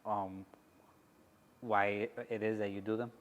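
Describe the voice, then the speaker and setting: A male interviewer asks measured questions with a low voice. His delivery stays flat, with an "um" slipped in here and there. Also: muffled recording. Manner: measured, with "um" between phrases.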